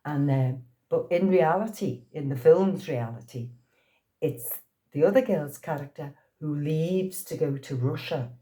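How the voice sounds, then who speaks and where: A woman's voice: sits low, measured pace, warm tone. A woman in her sixties from Liverpool with a Liverpool accent, chatting in an interview and telling anecdotes with frequent laughs. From Liverpool, England. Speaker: actress being interviewed. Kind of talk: casual storytelling interview.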